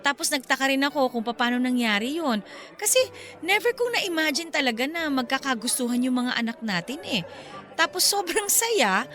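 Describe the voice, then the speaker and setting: High-voiced, gossipy mother. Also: expressive, normal speed, a bit bright. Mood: surprised, pleased. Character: chatty, excitable middle-aged mother, a close girlfriend. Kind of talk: conversational radio drama acting.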